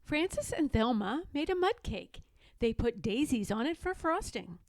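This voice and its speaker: A woman reading a children's story aloud as an expressive narrator. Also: a little high, unhurried, no echo. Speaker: storybook reader.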